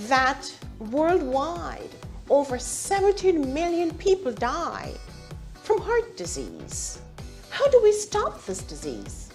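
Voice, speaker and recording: A woman's voice: high-pitched, a little mellow. A woman doctor hosting a TV health show in a studio, speaking emphatically and asking the viewer questions.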